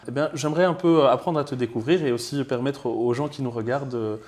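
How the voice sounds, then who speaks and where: A man's voice: medium pitch, quick pace, darkish tone. A man hosting an interview, asking questions and answering with short friendly acknowledgments. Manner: friendly, brief prompting acknowledgments. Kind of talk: casual interview.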